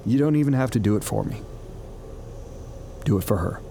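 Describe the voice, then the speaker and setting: An actor in a science fiction radio drama playing a persuasive, evasive corporate recruiter, earnest and halting as he coaxes. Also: on the low side, expressive, creaky, dark tone, a little hiss or hum. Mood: pressing, reassuring. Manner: hesitant, halting, coaxing.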